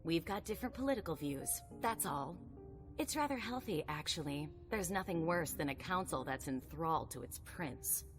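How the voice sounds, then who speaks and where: A female vampire sire in a video game cutscene, her voice notably deep. She is cynical and guarded yet protective, speaking with dry, emphatic irony over prominent music. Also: animated, moderate pace. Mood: wary, warning. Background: background music.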